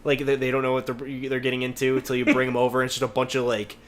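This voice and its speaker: A man's voice: mid-range voice, completely flat delivery, very fast, a bit bright. A man co-hosting a podcast, telling rambling anecdotes in casual chat and swearing freely. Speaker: podcast host. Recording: noticeable background noise.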